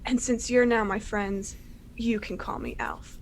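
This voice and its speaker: A woman's voice: medium pitch, very animated, measured pace, gravelly, slight background noise. A woman voicing a laid-back, nature-loving hippie man who talks in surfer slang.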